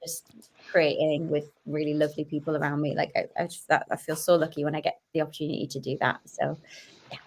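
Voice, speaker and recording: A woman's voice: sits low, little pitch movement, fast, rough recording. A young woman from Cumbria with a Northern English accent, chatting animatedly as a podcast guest and telling stories with quoted asides. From Barrow-in-Furness, Cumbria, England. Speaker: guest, sketch comedian and actress. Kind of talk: casual podcast chat.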